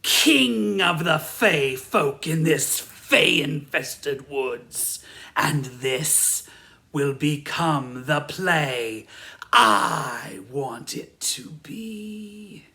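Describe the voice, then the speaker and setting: A woman's voice: expressive, gravelly, sharp tone, heavily acted. A woman playing an imperious, menacing fairy king in a comic audio drama, grandiose and commanding. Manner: slow, grandiose, booming then whispering. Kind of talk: theatrical comedy audio drama.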